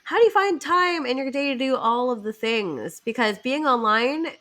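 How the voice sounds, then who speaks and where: A woman's voice: high-pitched, expressive, moderate pace, a bit bright. Bubbly congested woman podcast host. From Canada. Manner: bubbly, giggly, effusive. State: congested nose from a cold. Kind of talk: casual podcast chat.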